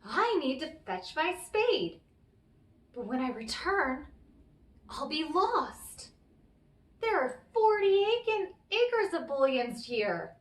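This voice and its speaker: Woman playing a cocky young man, echoey and animated. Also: high-pitched, voice pushed up, exaggerated voice. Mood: dismayed. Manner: bold, self-assured. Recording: roomy, echoey, distant microphone.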